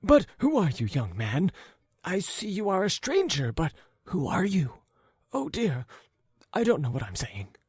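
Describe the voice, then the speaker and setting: A high, wandering old man's voice, put on by a male reader, forgetful and coughing, drawn out into a wheedling chant. He rambles slowly over his words, recorded dry and close. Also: wildly swooping pitch, a little mellow, husky, dry recording, heavily acted. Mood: flustered.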